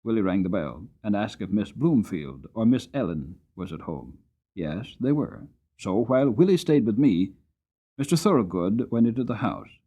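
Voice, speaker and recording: A man narrating an audiobook story in the first person, with a dramatic, gentle storytelling manner. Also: on the low side, very animated, normal speed, slightly rough, dull audio.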